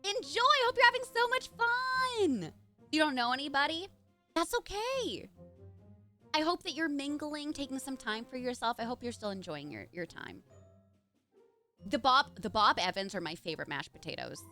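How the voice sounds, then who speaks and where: A woman streaming a game live, chatting excitably with her viewers, with faint music behind her. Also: high voice, moderate pace. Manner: chatty, warm to chat, excitable.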